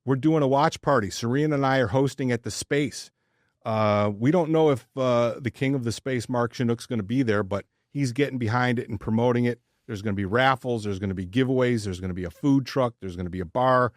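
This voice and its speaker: A man's voice: medium pitch, brisk, no room sound, studio quality. A man co-hosting a casual sports podcast, chatting informally and jokingly, often stammering and repeating his words. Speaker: podcast co-host.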